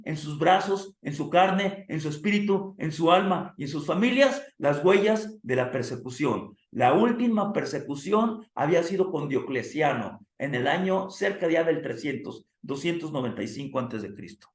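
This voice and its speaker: Hoarse man lecturing in an echoey room. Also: fairly high pitch. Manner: asks the class questions and addresses them as "amados". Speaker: theology teacher. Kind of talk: classroom lecture with preacher cadence.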